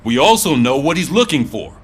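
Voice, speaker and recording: A man's voice: medium pitch, very animated, a little fast, husky. An actor playing a no-nonsense male police sergeant in a video game, businesslike and authoritative. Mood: stern, urgent. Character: no-nonsense police sergeant briefing his squad.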